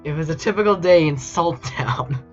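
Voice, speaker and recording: A man's voice: fairly high pitch, expressive, normal speed, a little mellow. A man streaming casually and reading fan fiction aloud in a mock-dramatic, amused and incredulous way. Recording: dull lo-fi mic with music behind. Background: background music.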